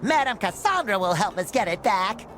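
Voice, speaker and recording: A man voicing a cheerful, naive young sea sponge hero in a cartoon game, with a squeaky nasal voice and an earnest, excitable manner. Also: normal speed, over music. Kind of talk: animated cartoon game voice acting.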